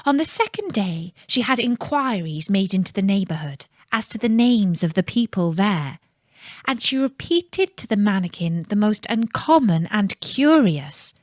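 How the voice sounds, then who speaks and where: Woman, fairytale narrator for children, unhurried, calm, measured, close dry recording. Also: medium pitch, no echo.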